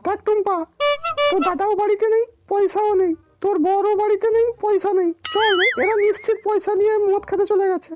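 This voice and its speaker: A woman voicing a sharp-tongued, bossy village wife in a comic cartoon, snappy and nagging. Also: very high voice, little pitch movement, a little fast. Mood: alarmed, scolding. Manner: snappy, nagging, repeats commands. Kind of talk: comic Bengali cartoon dialogue. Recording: music clearly behind the voice.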